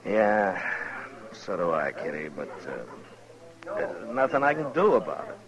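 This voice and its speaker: An actor playing a calm, firm, laconic frontier marshal in a 1950s Western radio drama. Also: male voice, fairly low pitch, a crowd in the background, exaggerated voice. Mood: easygoing. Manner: laconic, measured, authoritative. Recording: dull vintage radio recording.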